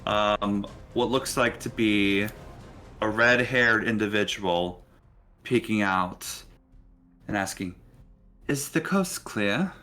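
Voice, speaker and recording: A man's voice: fairly low pitch, slow pace, pauses often, pushed and bright, faint music behind. A man, casually narrating and refereeing a tabletop fantasy game in a conversational, encouraging way. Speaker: game master and show host.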